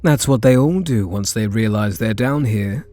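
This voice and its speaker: A British man playing a reluctant kidnapper in an intimate ASMR roleplay, wry and incredulous, speaking in short halting phrases. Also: on the low side, dark tone, no echo, close to an everyday voice. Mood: cool, probing. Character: reluctant male kidnapper, gruff but secretly softening. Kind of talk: ASMR roleplay acting, intimate one-sided dialogue.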